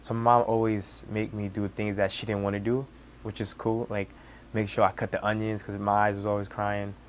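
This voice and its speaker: A young man in his twenties from Senegal with a Senegalese accent, Wolof his first language, telling his story as a cook in halting speech with many restarts. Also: on the low side, flat delivery, a little hiss or hum. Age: 20s. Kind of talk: casual personal storytelling.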